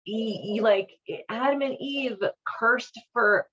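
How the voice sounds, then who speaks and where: A woman giving casual, irreverent commentary as a host, with a sardonic, halting, colloquial delivery. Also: a little high, a bit bright, dull audio. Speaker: host of a video series on leaving religion.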